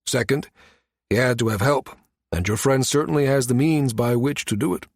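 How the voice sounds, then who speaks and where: A man plays a firm station security chief in a science fiction audiobook. He speaks with measured, matter-of-fact authority at a middle male pitch. The recording is very dry. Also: darkish tone, dry recording, natural voice. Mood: firm, explanatory. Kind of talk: audiobook character dialogue.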